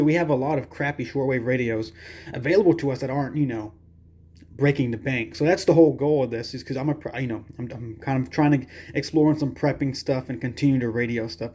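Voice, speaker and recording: A man's voice: medium pitch, warm tone. A man giving a casual, hands-on product review in a rambling, hesitant way with folksy phrasing.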